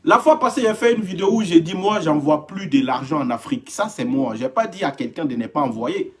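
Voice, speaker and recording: A man's voice: on the high side, animated, brisk, crowd noise. A man from Africa, live-streaming from a home, greeting viewers by name and growing emphatic and impassioned. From West Africa. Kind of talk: casual live stream monologue. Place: home indoors.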